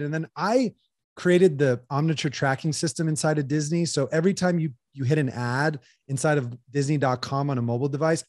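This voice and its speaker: A man in his thirties talking casually as an interview guest, rambling with lots of fillers, into a boom mic in a small room. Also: no room sound, clean studio sound. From United States. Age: 30s. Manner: rambling, filler-heavy, animated hand gestures. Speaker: guest entrepreneur. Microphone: headset with boom studio mic.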